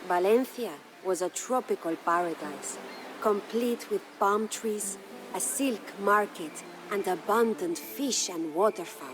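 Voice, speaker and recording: A woman's voice: mid-range voice, lightly acted, poor audio. An actress playing a proud, grieving medieval Spanish noblewoman who narrates her story in a solemn, stately, formal way for a video game. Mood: stately, reflective. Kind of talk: dramatic scripted storytelling. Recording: studio narration over prominent music.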